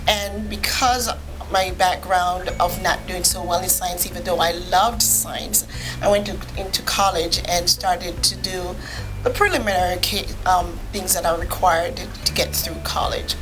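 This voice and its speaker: A woman from Jamaica, a physician appearing as a podcast guest, telling her personal story in a measured, matter-of-fact way. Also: fairly low pitch, fairly even intonation, music in the background, rough recording.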